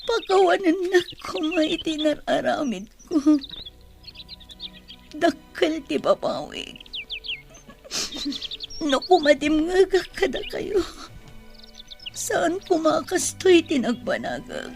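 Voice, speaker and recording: Lady playing a hurt ilocano mom in a radio drama, slow and heavy with feeling, music behind. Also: sits high, very animated, gravelly, exaggerated voice. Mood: tearful, resolved. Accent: Filipino, Ilocano. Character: wronged, sorrowful mother newly freed from prison.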